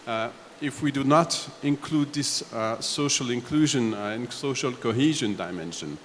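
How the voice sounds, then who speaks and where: A man from Belgium on a discussion panel, laying out his points one step at a time in a halting way. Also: mid-range voice. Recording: echoey hall, faint background noise.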